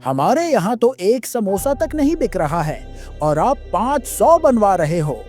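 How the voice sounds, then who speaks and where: A man's voice: sits high, very animated, unhurried, darkish tone, faint music behind. A man voicing an obedient but questioning shop worker in a children's cartoon. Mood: puzzled, doubting. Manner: deferential, questioning.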